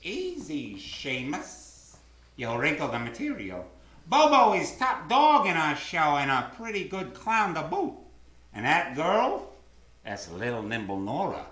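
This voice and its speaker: A man's voice: on the high side, huge pitch swings, a little slow, fairly bright tone, heavily acted. An actor playing a brash, wisecracking male circus carny with slangy, theatrical delivery in an old-time radio drama. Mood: brash, teasing. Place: theater stage. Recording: roomy live stage pickup.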